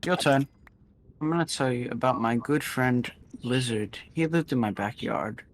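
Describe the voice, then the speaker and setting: A man telling improvised stories casually, rambling and halting, often repeating his phrases. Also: medium pitch, a little hiss or hum. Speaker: storyteller in a gaming video. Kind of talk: casual improvised storytelling while gaming.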